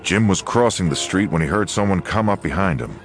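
An extremely low, gravelly male voice narrates a western story. His scripted storytelling moves with expressive pitch, and music plays behind him. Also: a little fast.

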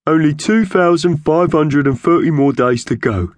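Whiny dim fat magpie, man putting it on, high pitched, plaintive kids story crook. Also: normal speed, dry recording, natural voice. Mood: proud, stubborn. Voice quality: gurgly.

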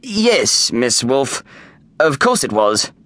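A woman's voice: very deep voice, big pitch swings, takes it slowly, heavily acted. A woman voicing a formal, reassuring male school principal in a children's storybook. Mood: placating.

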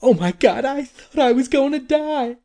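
A man's voice: very high-pitched, animated, normal speed, dark tone, pitched above the performer's own voice. A man voicing frightened young women on the run in a horror story, anxious and pleading. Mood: shaken.

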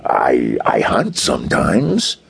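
A man acts out a wealthy, suave gentleman adventurer in a pulp detective audiobook. He speaks low and gravelly, with husky edges and a wry, bantering manner. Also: wildly swooping pitch, takes it slowly, exaggerated voice. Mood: challenging.

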